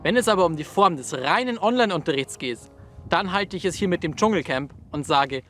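A man's voice: high-pitched, animated, fairly quick, fairly bright tone, soft background music. A man of 27 presenting scripted lines to camera in a wry, ironic way. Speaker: video presenter; teacher.

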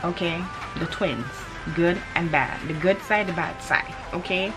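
Grenadian lady vlogging to cam, lively and emphatic, kinda low voice, music going underneath. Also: measured pace, low-quality recording. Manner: animated, emphatic, repeats points for stress. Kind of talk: casual to-camera chat. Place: indoors in front of a hanging cloth backdrop. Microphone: camera in front at head-and-shoulders framing.

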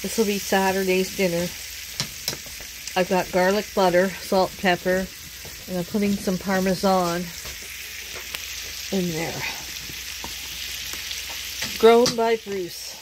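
A woman's voice: mid-range voice, fairly even intonation, slow, long pauses, noisy background. A woman from Canada hosting a casual home cooking vlog in her kitchen, chatting to viewers and laughing often. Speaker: home cook, channel host.

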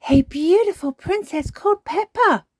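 A woman's voice: high voice, expressive, takes it slowly, mellow, no room sound, voice pushed up. A woman voicing an eager, insistent little girl pig in a children's storybook, with a bouncy, exclaiming way of talking. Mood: eager, excited.

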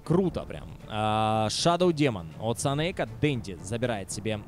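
A man's voice: on the low side, big pitch swings, moderate pace, soft background music. A man commentating a video game match live, with casual, sardonic asides to viewers. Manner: wry, sardonic. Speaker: esports commentator.

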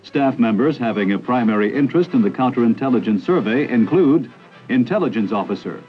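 A man's voice: medium pitch, animated, fairly quick, soft background music. Formal male narrator, old training film. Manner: formal, authoritative, clipped lists. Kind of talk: scripted 1960s educational film narration. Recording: dull old film soundtrack.

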